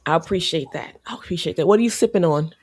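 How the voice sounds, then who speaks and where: A woman's voice: fairly low pitch, fast talker, a little mellow, husky. A woman in her late forties hosting a podcast, chatting candidly, laughing often and swearing casually. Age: 40s. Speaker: podcast host. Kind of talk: casual chat.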